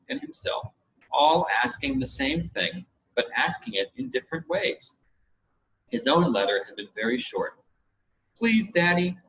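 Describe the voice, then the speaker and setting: Guy reading a storybook, higher lively voice, bit of fry, muffled lo-fi sound. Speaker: audiobook reader. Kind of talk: expressive scripted story narration. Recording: dull, lo-fi with audible background noise.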